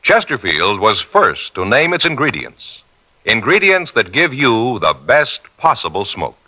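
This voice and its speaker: A man's voice: mid-range voice, big pitch swings, measured pace, bright, forceful tone. A man reading a 1950s radio commercial as a formal, emphatic announcer. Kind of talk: 1950s radio advertising read. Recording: 1950s radio broadcast recording.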